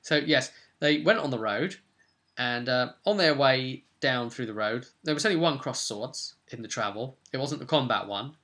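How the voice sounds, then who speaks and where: Guy game master chatting through his tabletop campaign, bright punchy voice, mid pitch. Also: normal speed. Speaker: host and game master of a tabletop role-play vidcast. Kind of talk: informal campaign recap to camera.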